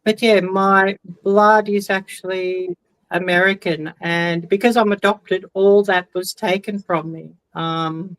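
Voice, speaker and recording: Casting: woman, guest on a video call, slow and halting, dry close sound. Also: mid-range voice, fairly even intonation, no echo, poor audio. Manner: hesitant, many ums, soft trailing. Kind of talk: casual video call chat.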